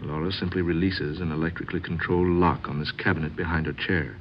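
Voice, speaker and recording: Low-voiced, scornful husband played by an actor. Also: male voice, steady tone, lightly acted. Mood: smug, explaining. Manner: formal, deliberate, scornful. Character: sceptical, aggrieved husband, stiff and coldly insistent. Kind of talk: old-time radio drama acting. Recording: muffled, faintly noisy old radio recording.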